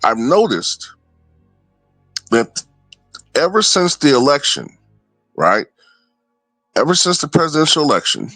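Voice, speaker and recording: A man's voice: on the high side, very animated, a little slow, long pauses, sharp tone, no room sound, soft background music, rough recording. A man giving casual, opinionated commentary off the cuff, often checking in with the listener. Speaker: online political commentator.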